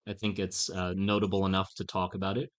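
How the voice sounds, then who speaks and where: Man, very low voice, live streamer, halting, rambling, self-mocking. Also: monotone. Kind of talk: casual live-stream chat.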